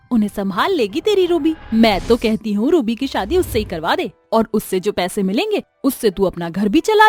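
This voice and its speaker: Woman acting a pushy, high-pitched gossipy neighbour. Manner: persuasive, familiar, colloquial.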